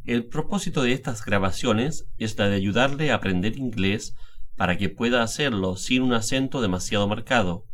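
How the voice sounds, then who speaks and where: A middle-aged man from Chile with a Chilean Spanish accent, calmly reading a scripted language lesson. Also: on the low side, normal speed. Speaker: language teacher, guest speaker. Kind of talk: scripted instructional narration.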